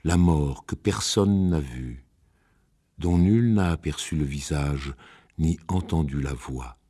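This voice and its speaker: A man reading ancient epic poetry aloud in a solemn, measured, declamatory way. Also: rumbling low, warm tone, slightly rough.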